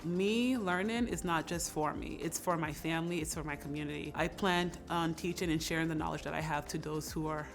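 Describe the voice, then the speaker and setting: A woman's voice: deep voice, brisk, few pauses. A woman giving an interview testimonial as a scholarship recipient. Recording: music laid under the voice.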